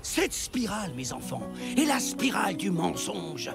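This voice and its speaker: A man's voice: high voice, very animated, measured pace, gravelly, music in the background, full character voice. A man voicing a wise, grave village chief in a prehistoric children's cartoon, solemn, theatrical and moralizing. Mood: admonishing. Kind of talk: animated children's cartoon dub acting.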